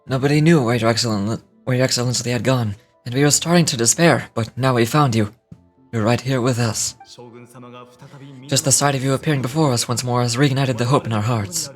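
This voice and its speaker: A man's voice: medium pitch, fairly quick, soft background music, lightly acted. A man playing a devoted, gallant samurai soldier in a video game, speaking formally and earnestly, as if declaiming. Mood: hopeful. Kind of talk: dramatic reading of video game dialogue.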